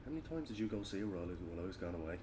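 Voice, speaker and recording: Guy acting irritable man fresh out of jail, short clipped answers, lowish voice, noisy recording. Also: sing-song, racing. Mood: bitter, angry. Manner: curt, terse replies. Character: guarded, irritable man just out of prison. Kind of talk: informal table read of a script. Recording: clear background room noise.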